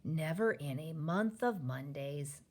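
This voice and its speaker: A woman voicing a gentle, stubborn, gloomy male giant from a children's storybook, with muddled folksy grammar and invented words. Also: deep voice, expressive, takes it slowly, exaggerated voice. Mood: doubtful, resigned. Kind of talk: children's storybook character reading.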